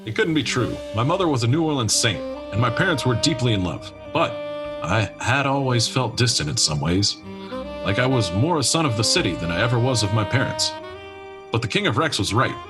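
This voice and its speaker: An actor playing a no-nonsense, wounded detective in a noir radio comedy, earnest and deadpan in his melodrama. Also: medium pitch, brisk, close to an everyday voice. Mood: brooding, resolute. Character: no-nonsense, wounded, half-float detective, male, hardboiled. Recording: music playing behind the voice. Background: music.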